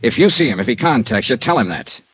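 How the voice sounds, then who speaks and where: An actor playing a tough, wry insurance investigator in a 1950s radio drama, clipped and probing. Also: male voice, mid-range voice, big pitch swings, fast talker, a bit bright, husky, no echo. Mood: insistent, earnest. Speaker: lead actor and narrator.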